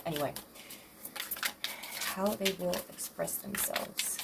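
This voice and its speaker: A woman in her twenties doing a tarot reading, with a slightly raspy voice and a hesitant, rambling way of talking full of fillers. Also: on the low side, huge pitch swings, slow, heavy background noise. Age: 20s. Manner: hesitant, rambling, self-interrupting with many fillers. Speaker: tarot reader.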